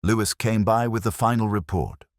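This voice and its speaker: A man narrating a first-person detective story in a measured, solemn, literary manner. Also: on the low side, dry recording, clean studio sound.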